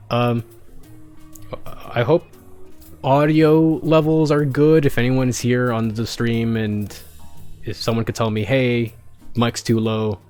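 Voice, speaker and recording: A man narrating a story in the first person as a streamer, expressive and a little halting, correcting himself as he goes. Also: mid-range voice. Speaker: streamer reading fan fiction aloud. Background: background music.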